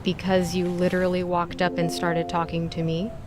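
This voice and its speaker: A woman's voice: lowish voice, completely flat delivery, normal speed, background noise, lightly acted. A woman playing a guarded, lonely young goddess, blunt and sardonic, in an audio drama. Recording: music behind the voice.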